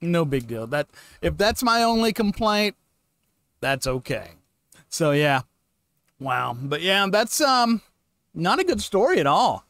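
A man's voice: on the high side, very animated, takes it slowly, long pauses, fairly bright tone, no echo. A middle-aged man hosting a reaction channel, giving casual commentary into a close desk microphone.

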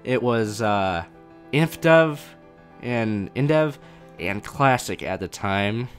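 A man's voice: on the low side, animated, takes it slowly. Casual young man on a live stream. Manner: casual, rambling, reads chat questions aloud. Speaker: mod developer answering viewer questions. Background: faint game music.

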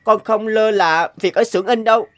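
A man's voice: high voice, moderate pace, close to an everyday voice. An actor playing a humble, earnest sixteen-year-old enslaved boy inventor in a cartoon, polite and sincere. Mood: modest. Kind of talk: cartoon dubbing.

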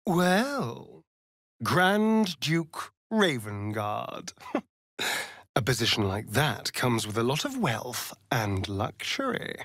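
An actor with an English accent playing a sardonic, flamboyant vampire spawn rogue in a video game, theatrical and arch in manner. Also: male voice, mid-range voice, big pitch swings, extremely slow, a bit bright, dry recording, studio quality, heavily acted. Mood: scheming. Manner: theatrical, arch, drawling with sighs. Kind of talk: video game character acting.

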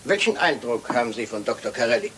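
An actor playing a fastidious, shrewd Belgian detective in a German crime radio play, speaking precisely and probingly with French words sprinkled in. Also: male voice, mid-range voice, big pitch swings, fairly bright tone, a little hiss or hum.

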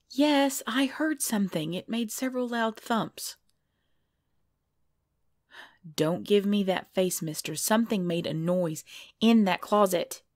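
A cute, jumpy girlfriend, played by a woman in an intimate bedtime audio roleplay. She talks closely and pleadingly to her partner, at a medium pitch. The recording is dry, with no room sound. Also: no room sound. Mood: insistent.

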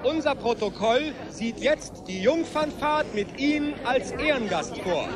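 A ceremonious male official in a radio play, flattering and formal in his public address. His voice is extremely high for a man, and a loud crowd surrounds him. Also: measured pace, loud music behind the voice. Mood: festive, formal. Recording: loud outdoor crowd behind.

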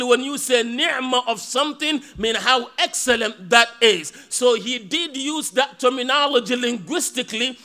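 A man's voice: very high voice, bright, forceful tone. A man in his thirties giving a Friday sermon from a mosque pulpit into podium microphones, preaching emphatically with rhetorical questions.

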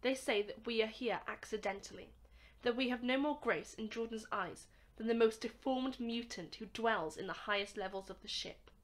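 A woman's voice: fairly high pitch, moderate pace, lightly acted. A woman acting an earnest, formal young male cadet scientist in an amateur radio drama.

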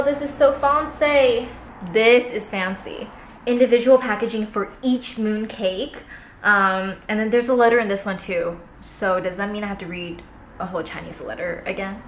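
A young woman chatting casually in a food vlog, unboxing gifts on her sofa and reading aloud haltingly while laughing at herself. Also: fairly high pitch, reverberant, slight background noise, low-quality recording. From Canada. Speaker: food vlogger. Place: living room, seated on a sofa.

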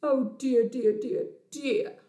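A woman voicing a gentle, dreamy male bear from a storybook, easily dismayed and given to polite, drawn-out exclamations. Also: high-pitched, steady tone, echoey room.

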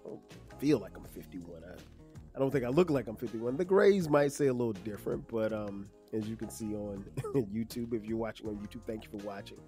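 A man in his fifties from South Carolina, hosting a solo podcast in a relaxed, conversational way with many fillers. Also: fairly low pitch, brisk, soft background music. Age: 50s. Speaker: podcast host. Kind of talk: casual solo podcast monologue.

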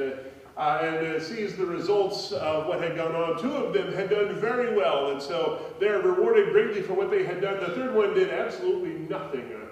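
A man in his forties, a pastor preaching a conversational sermon from a pulpit, telling stories with a few fillers. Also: fairly high pitch. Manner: conversational storytelling with gestures, frequent fillers. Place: church sanctuary, at a pulpit. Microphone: pulpit microphone, filmed by a far camera. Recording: echoey church hall, voice from a distance.

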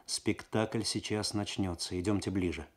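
A man's voice: low voice, steady tone, moderate pace. A man reads a young police detective in a radio story. He is keen and earnest, with a playful, teasing streak. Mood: hushed.

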